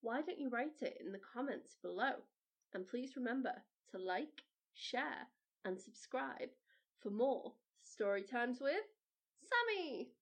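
A woman hosting a children's storytime, narrating a storybook in an expressive, animated way. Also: a little high, slow pace. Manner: animated, addressing children directly.

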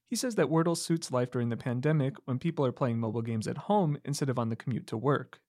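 Male radio reporter, mid pitch, measured read, dry booth sound. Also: a little mellow, dry recording. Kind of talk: scripted news report.

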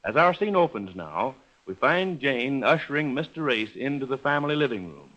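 A man's voice: mid-range voice, big pitch swings, normal speed, fairly bright tone, lo-fi sound. A man announcing in formal, polished 1930s radio style, reading persuasively from a script. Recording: vintage 1930s radio broadcast recording.

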